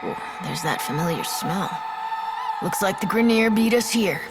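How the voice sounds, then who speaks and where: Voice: mid-range voice, lively intonation, normal speed, loud music behind the voice, close to an everyday voice. An actor playing a young, wry hero in a video game, in scripted dialogue. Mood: wry, alert.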